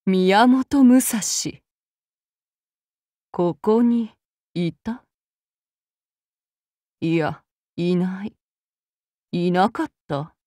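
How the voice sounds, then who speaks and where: A calm, menacing samurai woman. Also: medium pitch, very slow, pauses often, dry recording, clean studio sound. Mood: cold, brooding. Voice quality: formal, archaic courtly speech. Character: calm, menacing samurai woman, demon-blooded and devoted to her master. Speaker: game character voice actress. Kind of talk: game character voice lines.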